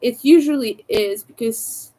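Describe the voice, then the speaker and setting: A woman with a raspy, soft-spoken voice, sick and low on energy, chatting casually and hesitantly on a livestream. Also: a little slow, poor audio. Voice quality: raspy from vocal cord damage. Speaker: livestream host, crafter.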